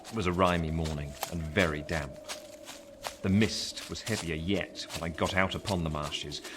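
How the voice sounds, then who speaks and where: An actor playing a grown man who narrates his fearful boyhood in a radio play, measured and literary, with an English accent. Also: sits low, steady tone, lightly acted. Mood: wry, measured. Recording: noisy home recording.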